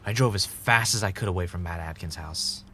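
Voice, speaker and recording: A man's voice: deep voice, a little fast, bright, forceful tone, a little hiss or hum. A man playing an anxious, sarcastic investigator in an audio drama. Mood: shaken, tense.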